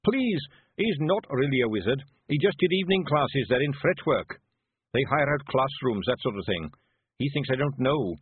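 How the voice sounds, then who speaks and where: A man's voice: on the high side, expressive, unhurried, slightly rough, dry recording, voice pushed up. A man voicing a nervous little male shopkeeper who begs and pleads anxiously. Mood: pleading. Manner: anxious, begging. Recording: tinny phone-like sound.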